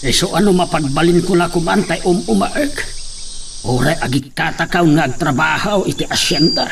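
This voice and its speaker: An actor playing a bitter, complaining hacienda worker. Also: male voice, a little high, moderate pace, gravelly, a bit bright. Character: angry hacienda worker, husband. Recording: faint background noise.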